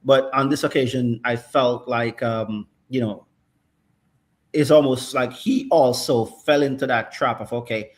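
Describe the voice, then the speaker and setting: A man's voice: medium pitch, fairly even intonation, unhurried. Thoughtful middle-aged male football pundit. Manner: thoughtful, frequent "right?" and "um". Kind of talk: casual analytical chat.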